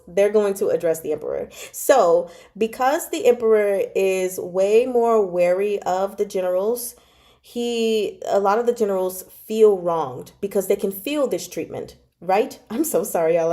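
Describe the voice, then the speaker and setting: A woman with a soft-edged, mellow voice retells a story as if chatting with friends. Her delivery is animated and informal, with slangy asides and a giggly, digressive manner. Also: mid-range voice, unhurried. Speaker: book club vlogger. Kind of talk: casual chat retelling a novel.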